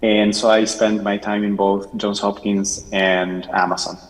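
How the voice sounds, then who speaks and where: A man from Chile, a professor, introducing himself briskly and formally on a conference panel. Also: fairly low pitch, little pitch movement. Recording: reverberant hall.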